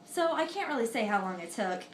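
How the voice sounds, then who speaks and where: Earnest Greek philosopher, played by a teenage girl; high, roomy school-project sound. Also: very animated. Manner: earnest, recited, a little halting. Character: ancient Greek philosopher Pythagoras, an older man, earnest. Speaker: student in a school history project. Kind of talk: amateur mock interview, reciting facts.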